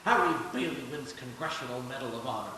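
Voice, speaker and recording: A man playing a scatterbrained, excitable old uncle in a live radio play, recorded in an echoey hall. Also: medium pitch, fast. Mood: jovial taunting. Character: scatterbrained, taunting, easily panicked old uncle. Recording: echoey hall from far off, faint hiss.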